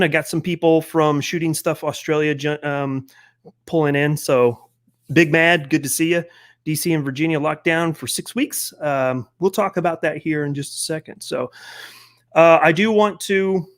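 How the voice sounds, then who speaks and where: Guy hosting a live stream, kinda high voice, loose and friendly, shouting out chat names. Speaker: host.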